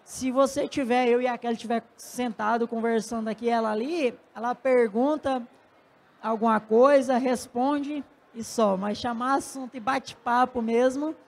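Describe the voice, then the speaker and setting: A man's voice: very high-pitched, steady tone, normal speed, creaky. A man with a Brazilian accent chatting informally as a guest on a festival stage, speaking into a handheld microphone. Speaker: coffee producer and guest speaker.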